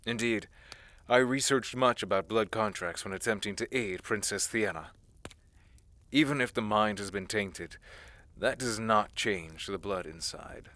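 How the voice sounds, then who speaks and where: Solemn, low, bright-edged scholar played by a man. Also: unhurried, no room sound. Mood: earnest, assured. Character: earnest, solemn male scholar of blood magic. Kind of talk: fantasy audio roleplay acting.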